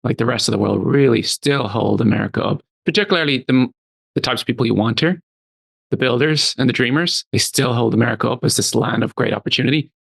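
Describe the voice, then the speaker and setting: An Irish man talks as a guest on a conversational show. His voice is husky with plenty of vocal fry, at an average pitch. He makes his points earnestly and likes to repeat a phrase. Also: fast, a bit bright. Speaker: interview guest, tech company founder and CEO. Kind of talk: casual conversational interview.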